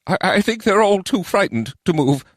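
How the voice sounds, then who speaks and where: Squeaky little goblin advisor, nervous and stammery, way up high, fantasy audiobook. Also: male voice, animated, normal speed, dry recording, clean studio sound. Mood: frightened, stammering. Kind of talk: audiobook character voice.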